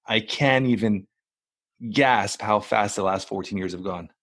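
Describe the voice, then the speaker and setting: A man's voice: lowish voice, a little slow, a bit bright, dry recording, studio quality. A middle-aged man hosting a podcast, talking bluntly and emphatically and swearing casually. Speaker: podcast host. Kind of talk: casual interview talk.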